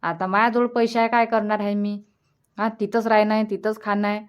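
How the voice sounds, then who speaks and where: A woman's voice: mid-range voice, flat delivery, fairly quick, smooth, lightly acted. A woman playing a generous, practical village mother in a cartoon comedy, insistently reassuring in a Varhadi Marathi accent. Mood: insistent, comforting. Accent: Varhadi Marathi of Vidarbha. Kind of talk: dialect cartoon comedy dialogue.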